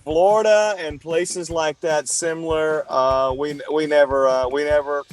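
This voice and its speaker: A man's voice: fairly high pitch, fairly even intonation, measured pace, faint music behind. A man co-hosting a podcast interview, easygoing and joking in casual chat. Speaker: podcast co-host. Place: United States.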